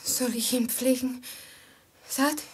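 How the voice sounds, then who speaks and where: A woman's voice: high voice, steady tone, slow, a little mellow, breathy edge, exaggerated voice. A woman playing an anxious, tender princess in a German radio play, speaking earnestly and pleadingly. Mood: pleading, worried.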